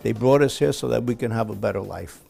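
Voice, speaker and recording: Gravelly, dark-voiced man recalling family. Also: fairly low pitch, fast, faint music behind. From Greece. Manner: earnest, personal recollection. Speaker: interviewee. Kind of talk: documentary interview.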